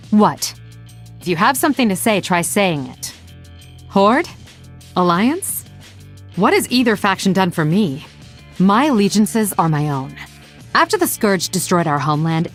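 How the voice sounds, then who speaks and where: Sardonic female elf assassin, game character, teasing and theatrical, very expressive pitch, music underneath. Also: a little slow, pauses often. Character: sly, sardonic young-looking female elf rogue assassin. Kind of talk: video game character voice lines.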